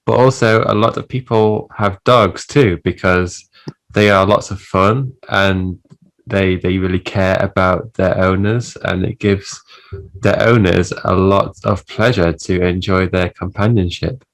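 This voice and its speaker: A woman from England, a teacher explaining things in a relaxed, casual chat and laughing easily. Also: extremely low pitch, a little slow, low-quality recording. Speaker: English teacher, co-host. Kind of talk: casual conversational Q&A.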